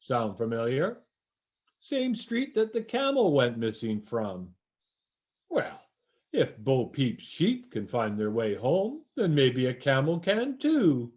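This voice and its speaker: Man acting a slow, swooping folksy radio announcer. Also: medium pitch, big pitch swings, a little mellow, muffled recording. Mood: arch, storytelling. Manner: hammy, folksy, deliberate. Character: genial folksy male radio announcer plugging toffees. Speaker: announcer and narrator. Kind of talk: old-time radio announcing.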